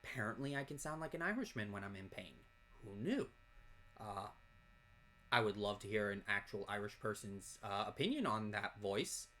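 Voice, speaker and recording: A man's voice: fairly low pitch, animated, fairly bright tone. A man chatting casually while opening card packs, rambling from topic to topic and reading off card names. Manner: reads off card names in quick lists. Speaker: card unboxing host. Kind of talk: casual unboxing chat.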